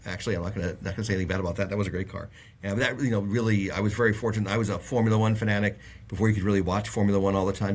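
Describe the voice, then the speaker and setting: A middle-aged man from the Bahamas, a guest on an interview show, telling long stories with lots of fillers and restarts. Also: low-pitched. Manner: rambling, many fillers and restarts. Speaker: auto industry guest. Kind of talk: casual interview chat.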